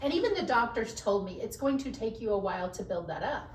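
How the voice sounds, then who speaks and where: A woman with a voice that carries, telling stories about her work in a lively, self-mocking way, often laughing. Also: a little high, brisk, a crowd in the background. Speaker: podcast guest, HR professional. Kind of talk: casual interview chat. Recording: roomy sound, mic at a distance, faint background hiss.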